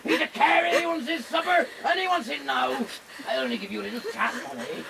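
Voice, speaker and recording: A man's voice: very high voice, expressive, brisk, creaky, far from the mic, a loud crowd, full character voice. An actor in a radio drama playing a brutal, drunken sea captain who bullies his family with taunts and threats. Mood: wheedling threat. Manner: menacing, bellowing, taunting.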